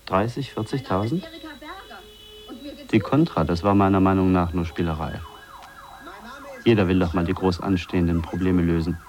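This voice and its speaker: An actor playing a worldly, opinionated man in a radio play, speaking deliberately phrase by phrase. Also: sits low, a little mellow, natural voice. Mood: cynical, musing. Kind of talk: 1980s German radio drama acting.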